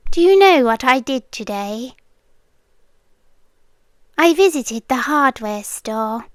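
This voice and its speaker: A woman's voice: sits high, lively intonation, slow, dry recording, studio quality. A British woman playing a possessive, sweetly menacing landlady girlfriend in an intimate ASMR roleplay, coaxing and controlling, often dropping into whispers. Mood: calmly obsessive.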